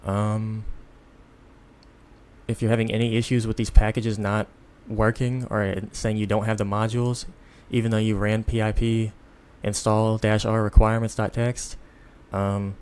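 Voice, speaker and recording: A man giving a casual, unscripted software tutorial in an informal, halting, step-by-step way, with background noise. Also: on the low side, little pitch movement, smooth. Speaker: tutorial presenter.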